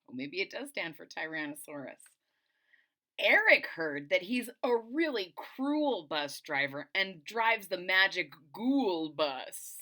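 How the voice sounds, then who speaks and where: A middle-aged woman reads a children's story aloud with a very animated, swooping delivery. Her voice is bright and forceful. She leans on key words for drama and breaks off to explain things simply to young listeners. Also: fairly low pitch, slow. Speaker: storyteller reading a picture book aloud. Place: small indoor room at home.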